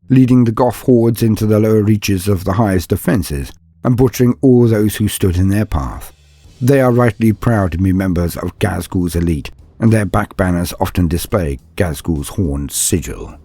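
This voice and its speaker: A man reading scripted dramatic narration in a grave, measured storytelling manner. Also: lowish voice, warm tone, husky, dry recording. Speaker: narrator of a fan lore channel.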